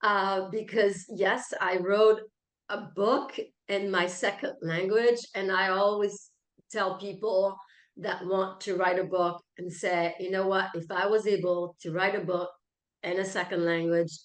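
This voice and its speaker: A woman's voice: medium pitch, level tone, unhurried. A woman from France with a French accent, a native French speaker, answering interview questions in an emphatic, self-assured conversational way. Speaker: interview guest, keynote speaker, coach and author. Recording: roomy, echoey sound.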